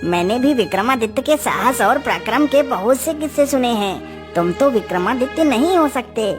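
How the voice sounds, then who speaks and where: A woman voicing an excitable, respectful male townsman in an eager manner. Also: on the high side, normal speed, voice pushed up, natural voice. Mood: disbelieving. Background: clear background music.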